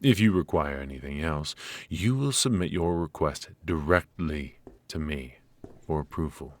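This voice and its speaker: A man playing a stern, aloof royal mage and mentor, speaking formally and imperiously with a husky voice in a scripted ASMR fantasy roleplay. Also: low-pitched, dry recording. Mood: curt, exacting.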